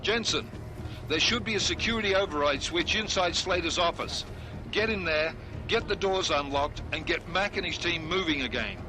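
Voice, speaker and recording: A man playing an urgent, brisk and commanding field team leader in a video game. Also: fairly high pitch, lightly acted. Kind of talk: video game cutscene acting. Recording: clear vehicle-like noise behind.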